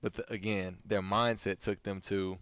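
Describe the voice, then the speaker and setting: A man's voice: on the low side, steady tone, normal speed, a bit bright, smooth, no echo. A man co-hosting a fitness podcast, asking long questions and agreeing readily. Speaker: podcast co-host. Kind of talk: casual podcast discussion.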